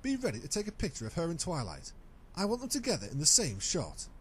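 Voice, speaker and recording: A man's voice: fairly high pitch, huge pitch swings, fast, a little hiss or hum, rough recording. A man playing a cocky, gossiping unicorn newspaper reporter with a knowing manner in an amateur audio drama. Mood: urging.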